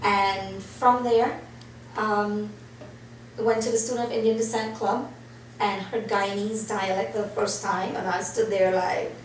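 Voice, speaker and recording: A woman from Trinidad telling her life story as an interview guest, animated, acting out the reactions she quotes. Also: medium pitch, measured pace. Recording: roomy and distant, faint noise.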